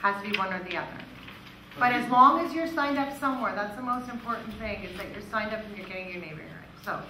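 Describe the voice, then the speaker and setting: A woman's voice: mid-range voice, lively intonation, quick pace, pushed and bright. Woman explaining in an echoey room. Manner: conversational, explanatory. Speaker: music royalty organisation manager presenting. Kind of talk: informal question and answer at a presentation. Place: meeting room in front of an audience. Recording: echoey room, distant mic, clear background noise.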